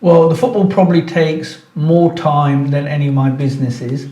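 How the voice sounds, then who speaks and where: A man's voice: fairly high pitch, fairly even intonation, moderate pace, warm tone. A man in his sixties, born in Pakistan and raised in England, a football club chairman answering interview questions earnestly, with frequent restarts and repeated words. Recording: roomy echo, mic at a distance.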